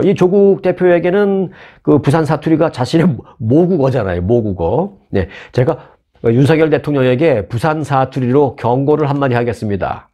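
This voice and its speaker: A man from Seoul hosts a news commentary show in a relaxed, talkative way. He explains the stories with asides and rhetorical questions, as if chatting with his viewers. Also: medium pitch. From Seoul, South Korea.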